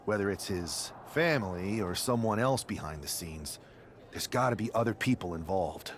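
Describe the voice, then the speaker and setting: An actor playing a cocky, wisecracking young wrestler in a video game cutscene. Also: male voice, on the low side, expressive, moderate pace, slight background noise, close to an everyday voice. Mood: suspicious.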